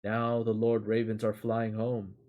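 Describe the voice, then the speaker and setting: A man's voice: lowish voice, monotone, measured pace, narrow, tinny audio, close to an everyday voice. Man reading as a courteous little dwarf servant. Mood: polite, measured.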